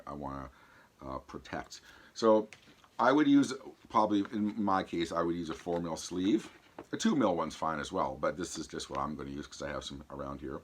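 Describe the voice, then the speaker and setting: A man with a low voice speaks to his viewers in a casual vlog style. His throat is sore from an infection, so the voice fades in and out. He talks haltingly, pausing as he demonstrates and explains. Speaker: vlog host. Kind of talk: casual product demonstration to camera.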